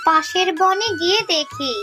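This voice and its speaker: A woman acts a poor, pious mother fox in an animal cartoon. Her voice is high, tender and soothing, earnest and humble, with music running behind it. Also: a little slow. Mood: urgent, caring. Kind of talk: children's cartoon voice acting.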